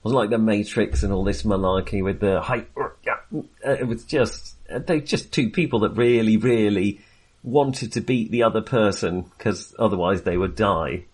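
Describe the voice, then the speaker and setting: Low-voiced man, wry and hesitant. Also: moderate pace, breathy edge. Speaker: film podcast co-host. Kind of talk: casual conversational film discussion.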